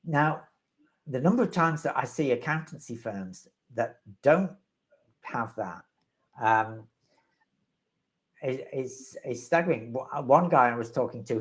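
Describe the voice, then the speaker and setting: A man's voice: medium pitch, normal speed. A man answering questions as the resident expert in a live webinar, rambling and wry, with self-deprecating humor.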